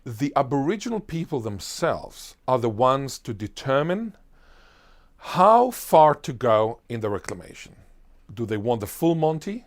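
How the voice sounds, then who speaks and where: Guy, linguist on an interview, middle pitch, super emphatic, lots of rhetorical questions. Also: a little slow. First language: Hebrew. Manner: emphatic, animated, piles up lists and rhetorical questions. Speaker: linguist guest. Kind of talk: enthusiastic explanatory interview answer.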